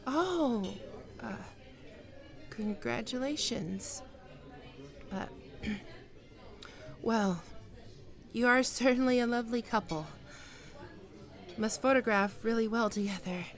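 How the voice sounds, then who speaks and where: Woman acting a slow, hesitant, wistful ex-lover. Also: on the high side, soft background music. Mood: wistful, uneasy. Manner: hesitant, halting, with sighs and trailing off. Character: flustered, wistful, proud writer meeting her former lover. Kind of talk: intimate ASMR roleplay acting.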